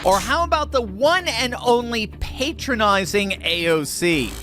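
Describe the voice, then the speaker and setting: Animated, mocking male commentator. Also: sits high, a little slow, over loud music. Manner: sarcastic, mocking, laughs between lines. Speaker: political commentator. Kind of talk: commentary on clips.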